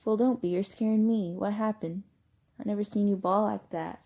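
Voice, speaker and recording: A woman's voice: mid-range voice, fairly even intonation, moderate pace, vocal fry, mellow, lightly acted. A woman voicing a caring teenage boy, a loyal friend with plain, slangy speech. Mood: concerned, reassuring.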